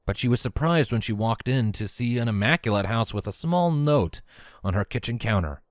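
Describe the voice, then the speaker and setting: A man's voice: medium pitch, expressive, normal speed, no room sound, clean studio sound. A man reading scripted story narration as the narrator of a fan fiction tale.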